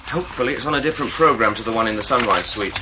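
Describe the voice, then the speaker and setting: A man's voice: on the low side, rapid-fire, noisy background, lightly acted. An actor playing a practical male spaceship crewman in a sci-fi radio drama, dry and matter-of-fact. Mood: businesslike. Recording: muffled old radio recording.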